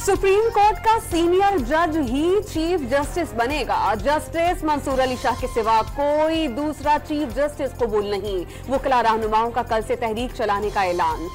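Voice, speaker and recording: A woman with a Pakistani Urdu accent reading scripted TV news headlines in a crisp, formal newsreader manner. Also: sits high, animated, a little slow, few pauses. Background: news music bed.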